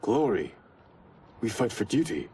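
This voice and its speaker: A man's voice: fairly low pitch, very animated, measured pace, warm tone, slight background noise. A man playing a dutiful younger brother in a ninja clan, earnest and principled, in a video game cutscene. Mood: indignant.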